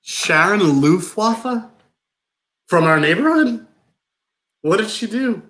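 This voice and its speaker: Man acting incredulous, digressing guy, slightly high-pitched. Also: expressive, takes it slowly, fairly bright tone, heavily acted, low-quality recording. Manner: disbelieving, wistful, digressive. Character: incredulous, fixated man hearing gossip about an old neighbor. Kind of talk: live play reading.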